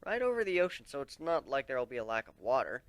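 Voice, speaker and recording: A man narrating a dramatic first-person story in an expressive, conversational way. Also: mid-range voice, quick pace, no room sound.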